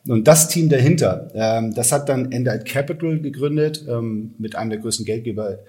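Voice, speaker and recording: Male guest, 40s, medium pitch, casual and matter-of-fact delivery in conversation. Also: moderate pace, warm tone. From Hamburg, Germany. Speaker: podcast guest, venture capitalist. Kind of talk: casual interview talk.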